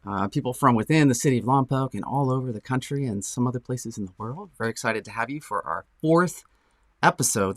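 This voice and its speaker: A man's voice: mid-range voice, a bit bright, dry recording. A man co-hosting a casual local morning show, upbeat and chatty with frequent fillers.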